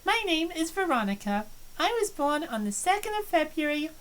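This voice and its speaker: A woman's voice: sits high, sing-song, normal speed. A woman voicing a shy young college student who is obsessed with a boy, reading in an earnest, confessional way. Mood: confiding. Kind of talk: visual novel voice acting.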